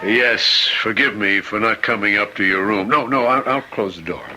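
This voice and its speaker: An actor playing an earnest, compassionate hospital chaplain with a grave manner in an old-time radio drama. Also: male voice, lowish voice, normal speed, noisy background, lightly acted. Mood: earnest, gentle.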